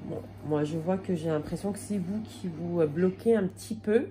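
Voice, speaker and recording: Lady with a bit of a cold doing a tarot reading, lowish mellow voice, lots of ums. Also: normal speed, a little hiss or hum. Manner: hesitant, many fillers and restarts. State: has a slight cold. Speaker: tarot card reader. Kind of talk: casual tarot reading for viewers.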